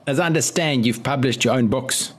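A man's voice: medium pitch, brisk. A man hosting a podcast interview, talking in a friendly, effusive way and ending remarks with a questioning "eh". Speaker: podcast host.

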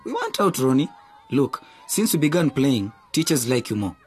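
A man's voice: mid-range voice, big pitch swings, moderate pace, darkish tone, breathy edge, faint music behind. A man playing a resentful, mocking schoolboy teammate in a radio drama.

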